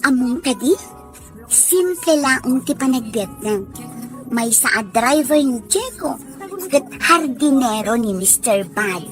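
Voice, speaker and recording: A woman acting as friendly young working woman, high voice, plain-spoken, radio drama dialogue, faint music. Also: lively intonation, slow pace. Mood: earnest.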